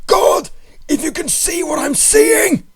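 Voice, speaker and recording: A man's voice: very high voice, lively intonation, takes it slowly, fairly bright tone, raised voice, voice pushed up, exaggerated voice. A man voicing a terrified, doomed man screaming frantic warnings in a horror story reading. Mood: horrified awe. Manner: frantic, shrieking, pleading.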